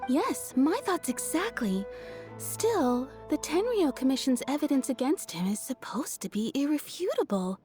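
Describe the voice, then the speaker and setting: A woman's voice: sits high, big pitch swings, unhurried. A woman voicing a cheerful young townswoman in a video game who tells stories in a chatty, amused way. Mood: warm, amused. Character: cheerful young woman of the town, fond storyteller. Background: soft game music.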